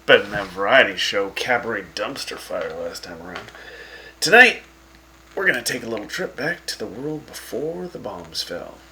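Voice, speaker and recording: Guy doing a teasing radio host, rough voice, swoops up and down a lot. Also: lowish voice, expressive, normal speed, pushed and bright, slight background noise, heavily acted, rough recording. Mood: wry. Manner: sarcastic, teasing, chatty. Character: wry sarcastic male wasteland radio host.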